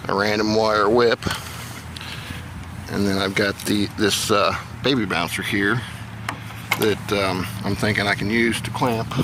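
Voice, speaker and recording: Low, creaky-voiced American man. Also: noisy background. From Indiana, United States. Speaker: amateur radio hobby vlogger. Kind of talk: casual how-to demonstration. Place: outdoors.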